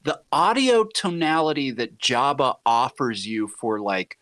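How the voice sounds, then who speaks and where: A man in casual podcast chat, animated and enthusiastic, playfully exaggerating as he goes. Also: mid-range voice, measured pace. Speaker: podcast co-host or guest. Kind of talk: casual podcast chat about audio dramas.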